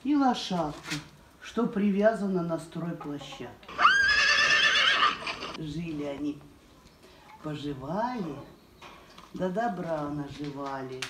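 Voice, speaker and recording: An older woman telling a rhyming folk tale in a slow, sing-song way from her kitchen. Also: sits low, animated. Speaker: storyteller, the uploader's aunt. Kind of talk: folk-tale storytelling in rhyme. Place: indoor kitchen, seen through a window. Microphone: far camera. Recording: roomy, distant, noisy camera audio.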